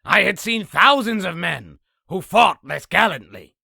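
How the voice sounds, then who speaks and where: Bragging demon storyteller, piercingly sharp rasp, heavy fry, theatrical comic-dub delivery. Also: male voice, high voice, expressive, measured pace, no echo, studio quality, full character voice. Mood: boastful, grandiose. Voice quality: raspy, gravelly. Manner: theatrical, self-aggrandizing. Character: boastful male demon telling his own exaggerated battle story. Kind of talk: comic dub character narration.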